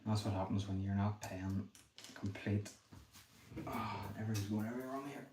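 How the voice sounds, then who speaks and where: Fast-talking Northern Irish man, low flat voice. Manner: thinks aloud, self-deprecating, apologetic; talks quickly. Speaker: livestreamer building a brick set. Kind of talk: casual livestream chat while building. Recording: echoey room with faint noise.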